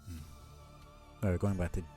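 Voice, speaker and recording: A man's voice: low voice, little pitch movement, moderate pace, warm tone, faint music behind. A man giving casual, rambling live-stream commentary in a blunt, sweary way. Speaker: streamer reading a webcomic aloud.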